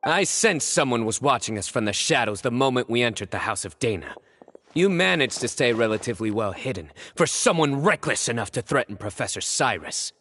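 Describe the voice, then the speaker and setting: An actor voicing a stern young male judge in a game, calm and deductive in a measured way. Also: medium pitch. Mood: accusing.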